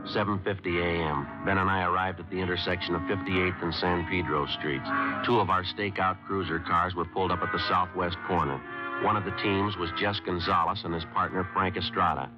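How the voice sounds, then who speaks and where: An actor playing a terse police sergeant who narrates his cases in a clipped, flat deadpan, from a muffled 1950s radio drama. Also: deep voice, brisk, over loud music, lightly acted. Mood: matter-of-fact.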